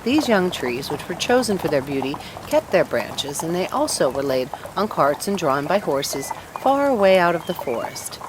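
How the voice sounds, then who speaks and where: A woman narrating a children's story aloud in an expressive read-along style. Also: fairly low pitch, normal speed, few pauses, background noise.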